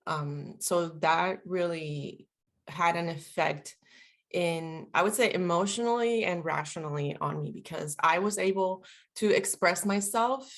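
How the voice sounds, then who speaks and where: A woman's voice: on the low side, measured pace. A woman of about forty from Puerto Rico, a native Spanish speaker, talking reflectively and casually in an interview with lots of fillers. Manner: reflective, chatty, many fillers. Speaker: guest, veteran and linguist.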